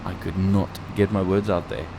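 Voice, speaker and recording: A man's voice: low-pitched, fairly even intonation, moderate pace, vocal fry, noisy background. A man who is not a native English speaker gives casual gameplay commentary as a let's play host, thinking aloud with playful asides.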